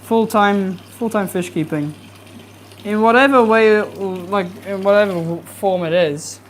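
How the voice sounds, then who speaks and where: A young adult fishkeeping streamer chatting casually and rambling with fillers during a livestream from a home fish room, with a soft, relaxing voice. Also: mid-range voice, measured pace, slight background noise. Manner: easygoing, rambling, many fillers. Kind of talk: casual livestream chat while cleaning tanks.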